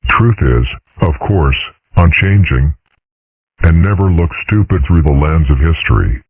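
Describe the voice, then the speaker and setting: A man voicing a calm father dragon in a comic dub, lecturing in a measured, deadpan way with dry mischief. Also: rumbling low, husky, no echo, heavily acted. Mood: measured, wise. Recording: muffled, phone-like narrow sound.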